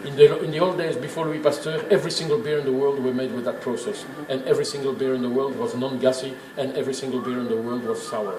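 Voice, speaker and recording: A man's voice: medium pitch, steady tone, fast, few pauses. Emphatic male tour guide in an echoey room. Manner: emphatic, opinionated, didactic. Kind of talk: informal guided tour explanation. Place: indoors in a working brewery. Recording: echoey room, clear background noise.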